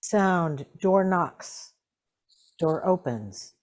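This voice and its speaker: Very slow woman reading cues. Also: fairly low pitch, expressive, a little mellow, no room sound. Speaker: reader of sound cues. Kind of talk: terse scripted cue reading.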